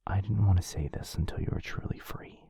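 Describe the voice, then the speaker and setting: A gentle, devoted vampire lover, acted by a man for romantic ASMR roleplay. His voice is deep and very breathy, often slipping into a whisper. He speaks earnestly and haltingly, close and dry. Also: no room sound, full character voice. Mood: tender confession. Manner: soft, halting, earnest delivery.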